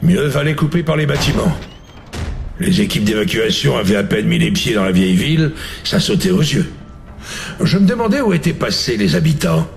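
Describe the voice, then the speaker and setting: A man playing a lieutenant in a video game, recounting a mission to his colonel in a measured, matter-of-fact way. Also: medium pitch, very animated, creaky, slight background noise. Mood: grave, recounting. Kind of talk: scripted game narration in French dub.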